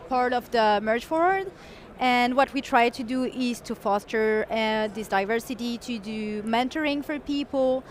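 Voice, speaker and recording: A woman guest at a conference interview, explaining her community work eagerly in quick bursts. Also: on the high side. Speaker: software engineer and community guest.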